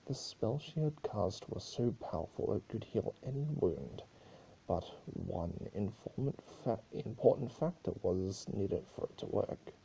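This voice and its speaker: A man's voice: on the low side, animated, measured pace, creaky, dark tone, a little hiss or hum, low-quality recording. A man giving an amateur dramatic reading of a story, now and then stumbling over his words. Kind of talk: dramatic scripted narration.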